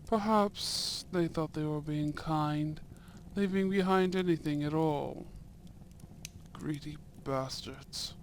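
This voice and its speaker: Man acting a slow-spoken, courteous young Edwardian viscount. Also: a little high, slight background noise. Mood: grave, disapproving. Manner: formal, measured, deliberate phrasing with soft murmurs. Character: young Edwardian viscount, courteous, shrewd, coolly protective suitor. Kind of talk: romantic period audio roleplay, ASMR.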